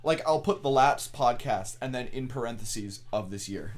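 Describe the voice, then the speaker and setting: A young man co-hosting a podcast in casual banter, with an animated, joking manner and plenty of swearing. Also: medium pitch, normal speed. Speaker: podcast co-host.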